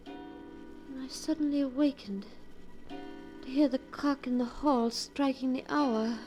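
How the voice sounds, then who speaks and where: Anxious devoted wife, 1940s radio melodrama played by a woman, high voice, careful diction, music behind, vintage recording. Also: close to an everyday voice. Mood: hushed, uneasy. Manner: dramatic, emotional, careful diction. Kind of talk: 1940s radio drama acting.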